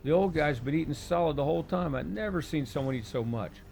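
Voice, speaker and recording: A chatty, teasing waitress, as a male narrator puts her on, pitched up above his own voice. There is gravel under it and soft music behind. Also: lively intonation, quick pace. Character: young woman waitress, chatty.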